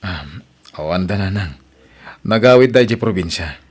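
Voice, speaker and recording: A man's voice: deep voice, animated, moderate pace, husky. A man playing a weary, defensive young husband in an audio drama. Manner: defensive, tired.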